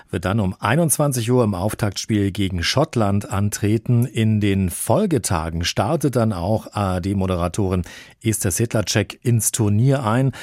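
A man presenting radio news and interviewing a colleague in a brisk, conversational way. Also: lowish voice, a little mellow, no echo, clean studio sound.

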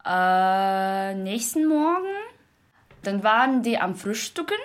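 A woman's voice: mid-range voice, expressive, slow. A woman in her twenties from Uzbekistan, a non-native speaker, retells a story off the cuff, searching for words as she goes. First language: not German. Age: 20s. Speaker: interviewee retelling a film. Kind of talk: unscripted spoken retelling.

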